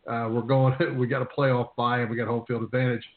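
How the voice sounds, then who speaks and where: A man's voice: medium pitch, flat delivery, a little fast. An American man hosting a casual sports talk show, conversational and a bit rambling as he repeats words. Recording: roomy, phone-like narrowband radio line.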